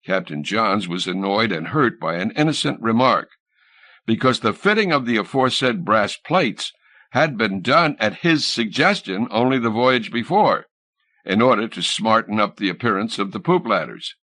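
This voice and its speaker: Low, expressive male narrator, forceful and dry. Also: normal speed, dry recording. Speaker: audiobook narrator. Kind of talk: scripted literary narration of a sea story. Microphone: close studio microphone, dry sound.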